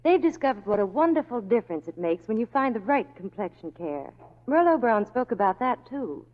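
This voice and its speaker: A woman's voice: on the high side, big pitch swings, brisk. A woman working as a Hollywood reporter, delivering scripted promotional chat in 1940s radio style. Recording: dull vintage radio recording.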